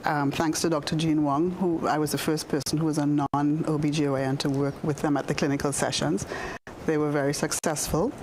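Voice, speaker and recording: Low-voiced woman in her sixties. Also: little pitch movement, slight background noise, low-quality recording. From Bahamas. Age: 60s. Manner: warm, unhurried, many fillers and restarts. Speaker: guest doctor and diplomat. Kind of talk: studio interview. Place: television studio.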